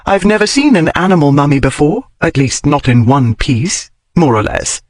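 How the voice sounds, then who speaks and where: A man's voice: on the high side, big pitch swings, normal speed. A man voicing a bold, curious scholarly woman in an audiobook, with precise, bookish and insistent phrasing. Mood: keen, chatty. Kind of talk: audiobook character dialogue.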